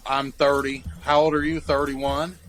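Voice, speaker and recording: Man, late 20s, rambling swearing storyteller, average pitch, faint noise. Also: level tone, measured pace, fairly bright tone. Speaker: podcast co-host. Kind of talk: casual chat. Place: cold basement den in a house. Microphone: handheld mic.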